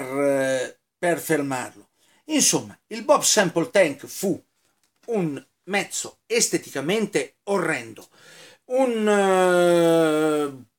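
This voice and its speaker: A middle-aged man in his fifties talks straight to his webcam with a clip mic on his chest, his voice sitting a little high. He halts often, filling gaps and repeating words, and keeps a sardonic, joking tone as he addresses his viewers as sirs. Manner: halting, with many fillers and repeated words. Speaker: history video host. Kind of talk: casual talk to camera. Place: indoor room full of flags and scarves.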